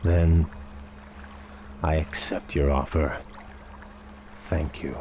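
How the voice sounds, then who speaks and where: A deep-voiced, sardonic elven prince. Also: male voice, level tone, drawn-out words, a little mellow, slight background noise, full character voice. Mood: bitter. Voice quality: refined, dry and sardonic. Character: proud elven prince, weary and resentful. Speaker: prince and field commander. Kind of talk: video game cutscene voice acting.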